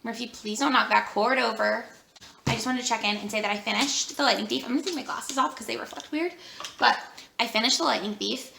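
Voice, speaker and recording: Gravelly-voiced woman chatting about books, hoarse, bright tone, echoey room. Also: on the high side, a little fast, slight background noise. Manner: chatty, rambling with many fillers. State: losing her voice. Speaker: book vlogger. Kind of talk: casual vlog chat.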